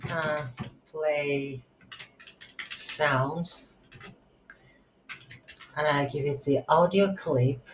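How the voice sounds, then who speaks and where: A woman giving an unscripted software tutorial as an instructor, halting and thinking aloud while she types. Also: low-pitched. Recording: roomy echo, faint background noise.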